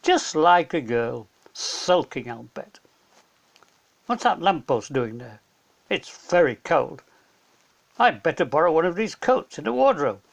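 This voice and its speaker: A man's voice: on the high side, sing-song, measured pace, creaky, heavily acted. A man voicing a nervous, sulky young boy in a storybook reading. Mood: curious, sulky.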